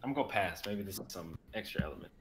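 A man's voice: low-pitched, lively intonation, rapid-fire. A man in his twenties chatting casually over a noisy video call. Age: 20s. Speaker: stream cast member. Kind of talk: casual chat.

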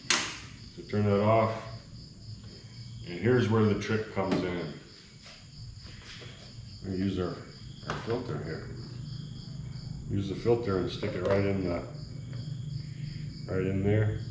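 A man's voice: deep voice, fairly even intonation, slow, pauses often. A middle-aged man chatting casually to viewers while he makes coffee in a small kitchen, rambling and easygoing. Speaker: vlogger. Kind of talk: casual cooking vlog chat. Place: small bare cement-walled kitchen. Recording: echoey room, faint background noise. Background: crickets at night.

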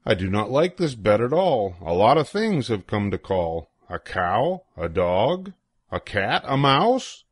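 An older man reading a children's picture book aloud in an expressive, sing-song way with deliberate pauses. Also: on the low side, slightly rough, dry recording, studio quality. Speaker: storybook reader.

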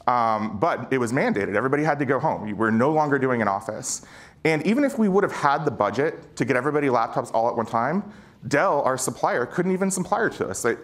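Informal man giving a keynote. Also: mid-range voice. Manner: conversational, slangy, peppered with rhetorical check-ins. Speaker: keynote speaker, former security chief. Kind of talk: informal conference talk. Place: on stage in a conference auditorium.

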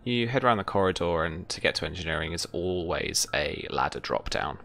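Bright, very deep-voiced young male game master. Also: lively intonation, a little fast, creaky. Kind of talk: casual tabletop game narration. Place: indoor room with bookshelves, on a video call.

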